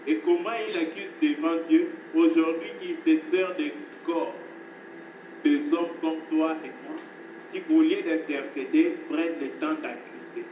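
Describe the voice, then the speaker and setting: Male preacher, emphatic, repetitive delivery, somewhat high voice, distant noisy hall recording. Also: steady tone, unhurried, lo-fi sound. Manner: emphatic preaching, repeats phrases, addresses listeners as beloved. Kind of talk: sermon.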